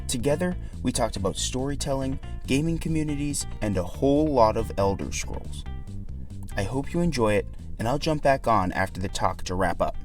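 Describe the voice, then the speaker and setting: A man reading a scripted podcast introduction as the host. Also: lowish voice. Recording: distant sound with music underneath. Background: music.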